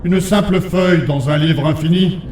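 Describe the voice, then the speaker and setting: A man's voice: high-pitched, moderate pace, background noise, natural voice. A man playing an all-powerful, menacing villain in a fantasy audio drama, cryptic, solemn and ominous. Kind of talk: French fantasy audio fiction acting. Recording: echoing, distant, music underneath.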